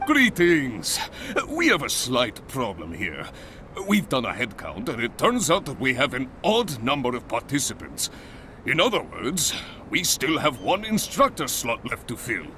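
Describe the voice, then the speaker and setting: A man's voice: medium pitch, wildly swooping pitch, unhurried, bright, forceful tone, a little hiss or hum, heavily acted. A man playing a courteous, businesslike guild organiser in a video game. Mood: businesslike, concerned.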